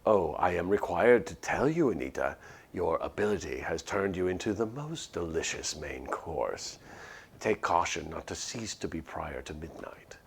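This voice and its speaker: A man's voice: low voice, animated, moderate pace, slightly rough, heavily acted. A man voicing a well-spoken, sneering monster with formal, haughty diction in a spoken horror story. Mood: ominous. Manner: haughty, formal diction turning to a hushed whisper.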